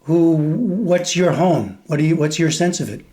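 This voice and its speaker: A man's voice: on the high side, a little slow, mellow. A man interviewing a guest over a remote call, asking probing questions in a hesitant, searching way with frequent restarts. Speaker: interviewer, journalist. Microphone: remote video call.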